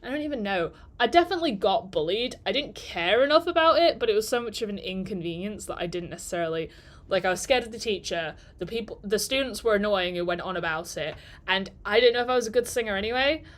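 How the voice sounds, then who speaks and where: A woman's voice: medium pitch, animated, fast talker. A woman in her twenties, chatting and telling stories on a live work stream, rambling and laughing between thoughts. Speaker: digital marketer vlogger. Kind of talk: casual storytelling during a live work stream.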